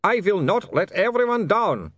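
A man's voice: high voice, big pitch swings, moderate pace, breathy edge, no room sound, pitched above the performer's own voice, heavily acted. A man reading a story aloud and voicing a nervous male vampire inventor with a foreign accent, pleading and trembling. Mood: desperate.

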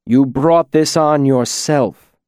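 A stern lord passing judgment in a fantasy story, played by a male narrator. He is pitiless and commanding, weighing each word slowly in a mid-pitched voice, recorded dry and close. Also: darkish tone, clear, resonant tone, no echo, lightly acted. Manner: slow, deliberate, commanding. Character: stern, pitiless lord, captor and judge of a demon.

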